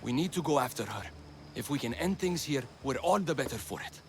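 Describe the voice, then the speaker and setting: A man's voice: mid-range voice, lively intonation, fairly quick, slight background noise, lightly acted. Man acting an earnest, urgent young companion. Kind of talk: video game cutscene acting.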